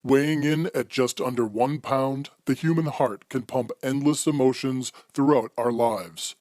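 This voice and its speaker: A man's voice: medium pitch, fairly even intonation, measured pace, a little mellow, dry recording, studio quality, close to an everyday voice. A man playing the philosophical, formal host of a macabre radio anthology, reading scripted narration in an old-time radio style. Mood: measured, reflective.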